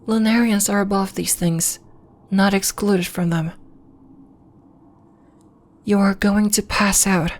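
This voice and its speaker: A woman playing a serene, solemn alien moon healer in an ASMR roleplay, speaking soothingly in formal, deliberate phrases. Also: medium pitch, a little mellow, lightly acted. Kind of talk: ASMR roleplay, soft scripted monologue addressed to listener.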